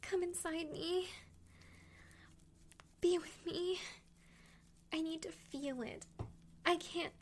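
Female voice for intimate adult audio roleplay: high-pitched, breathy, frequently whispered, with very slow delivery. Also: steady tone, slight background noise. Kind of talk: intimate erotic audio roleplay, addressing a partner.

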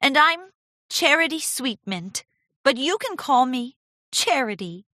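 Woman voicing an eager, high-pitched girlish cartoon pony. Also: very animated, unhurried, bright, forceful tone, dry recording, clean studio sound, full character voice. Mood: gushing. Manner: earnest, girlish, stammering when flustered. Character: eager, gushing young female pony apprentice.

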